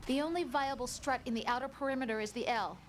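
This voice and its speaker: Brief: actress as a gentle, high-voiced game support partner. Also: female voice, fast, slight background noise, natural voice. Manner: gentle, reassuring. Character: caring young woman supporting over radio.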